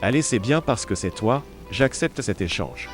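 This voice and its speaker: A man's voice: mid-range voice, lively intonation, moderate pace, natural voice. A man playing a shrewd, cold-blooded barkeeper plotting with the wartime Resistance in an audio drama. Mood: wry, familiar. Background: music behind the voice.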